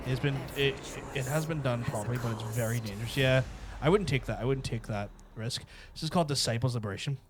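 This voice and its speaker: A man co-hosting a gaming podcast, reacting quickly and excitedly to trailers and swearing freely. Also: medium pitch, noisy background. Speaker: podcast co-host. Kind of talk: casual chat reacting to game trailers.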